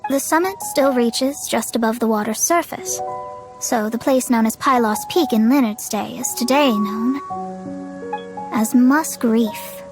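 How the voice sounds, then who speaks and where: A woman's voice: on the high side, unhurried. A woman playing a proud, bookish young astrologer in a video game, articulate, haughty and dramatic, with music behind. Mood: explanatory. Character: proud, bookish young female astrologer, self-assured and fiery. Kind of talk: scripted video game voice acting.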